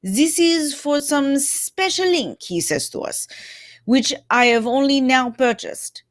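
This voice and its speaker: Brief: woman as courteous, self-assured male sleuth, high voice, theatrical radio-play delivery, close dry sound. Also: animated, takes it slowly, no echo. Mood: explaining, triumphant. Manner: theatrical, explanatory, punctuated with "eh?". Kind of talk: old-time radio mystery acting.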